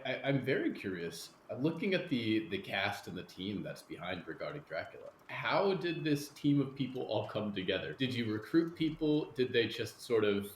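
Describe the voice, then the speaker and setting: A man's voice: lowish voice, very animated, moderate pace, few pauses, roomy sound, slight background noise, low-quality recording. A man hosting a podcast, speaking in scripted introductions and interview questions.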